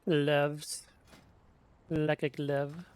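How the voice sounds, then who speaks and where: A man's voice: a little high, flat delivery, extremely slow, darkish tone, slight background noise. A man giving casual let's play commentary, tossing out short offhand reactions as he plays. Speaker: gaming video host.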